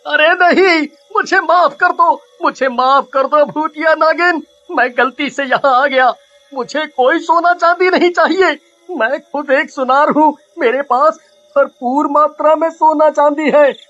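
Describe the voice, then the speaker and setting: A man's voice: very high-pitched, slow, bright, forceful tone, highs cut off, heavily acted. A man voicing a greedy, scheming, cowardly treasure hunter in a cartoon, with exaggerated theatrical delivery. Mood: pleading. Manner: exaggerated, theatrical, stammering when scared. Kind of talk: Hindi cartoon dialogue.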